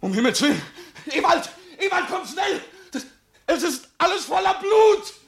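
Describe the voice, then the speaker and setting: Very high creaky melodramatic radio player. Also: big pitch swings, normal speed, full character voice. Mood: panicked. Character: panicking radio actor in a crime play. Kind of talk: 1960s German radio play acting. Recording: faintly noisy old radio recording.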